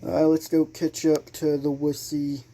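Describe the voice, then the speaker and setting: A young man doing casual gameplay commentary as a channel host, halting and sometimes muttering. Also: a little high, completely flat delivery, warm tone.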